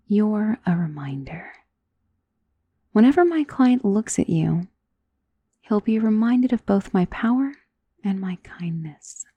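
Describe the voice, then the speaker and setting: A woman playing a centuries-old, wealthy vampire in an ASMR roleplay, doting and possessive, speaking in a soft, intimate, genteel and commanding manner. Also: fairly low pitch, slightly rough, dry recording. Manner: soft, intimate, genteel, unhurried endearments, often dropping into whisper. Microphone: close microphone in a dry space.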